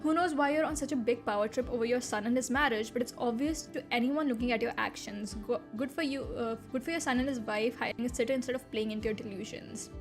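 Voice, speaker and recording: A woman's voice: on the high side, fast talker, few pauses, faint music behind. A young woman whose first language is Hindi, reading online posts aloud on a stream and reacting with blunt, incredulous commentary and frequent laughter. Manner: animated, incredulous, laughs often, blunt with swearing. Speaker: VTuber streamer.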